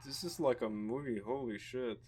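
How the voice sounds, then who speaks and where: A man's voice: mid-range voice, moderate pace, darkish tone, slight background noise. A man hosting a casual game playthrough, reacting offhandedly in short remarks. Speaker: gaming video host. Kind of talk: casual first-impression game playthrough commentary.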